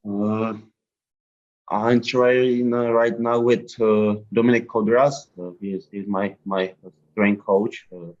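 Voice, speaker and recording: A young man from the Czech Republic with a Czech accent, answering interview questions in halting English full of fillers. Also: lowish voice, steady tone, a little mellow, dull audio. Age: young adult. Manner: halting, many uh fillers and repeats. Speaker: draft prospect guest. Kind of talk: interview answers in a second language.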